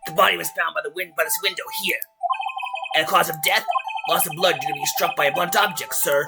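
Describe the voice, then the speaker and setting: A man voicing an eager, bumbling police detective in a game fan dub, with a gruff, strained throaty voice and a deferential manner. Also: a little high, steady tone, fast, music in the background. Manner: deferential, peppering answers with sir. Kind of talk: video game fan dub character acting.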